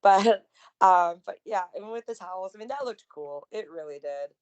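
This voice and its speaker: A woman with a slightly raspy voice, co-hosting a wrestling podcast in enthusiastic, chatty conversation. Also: medium pitch, animated, moderate pace, muffled recording. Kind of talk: casual wrestling recap chat.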